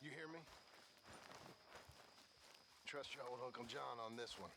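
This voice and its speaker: An actor playing a cranky, melodramatic old American cowboy uncle with a gravelly voice in a video game. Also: male voice, medium pitch, unhurried, background noise. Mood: warning, folksy.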